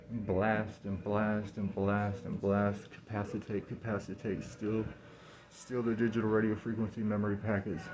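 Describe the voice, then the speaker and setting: A man in his thirties in a rambling, halting monologue, repeating words and phrases, recorded on a phone indoors through a cloth mask. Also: lowish voice, muffled recording. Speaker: vlogger talking to camera. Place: small room indoors. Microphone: phone at arm's length, speaking through cloth mask.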